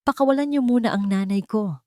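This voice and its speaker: A woman plays a determined young heroine in an audio drama. Her voice is raised and dry, firm yet emotional, with quiet defiance. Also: fairly high pitch, fairly quick, no room sound, voice pushed up, close to an everyday voice.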